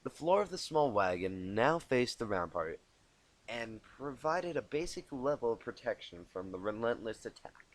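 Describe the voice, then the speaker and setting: Guy reading fan fiction out loud on a cheap mic, apologizes and flubs lines, really up and down pitch. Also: sing-song, normal speed. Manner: self-deprecating, stumbles and corrects himself. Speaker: amateur fan fiction reader. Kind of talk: informal storybook narration with asides. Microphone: cheap home microphone.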